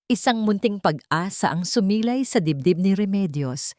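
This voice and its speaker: A woman narrating a sentimental drama from a script in a deliberate, emotive way. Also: medium pitch, animated, darkish tone, dry recording, clean studio sound. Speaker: story narrator.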